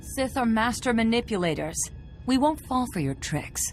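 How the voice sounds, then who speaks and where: A woman's voice: fairly high pitch, lively intonation, moderate pace, faint music behind, lightly acted. An actress playing a stern, intense female Jedi with a secret in a video game. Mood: defiant.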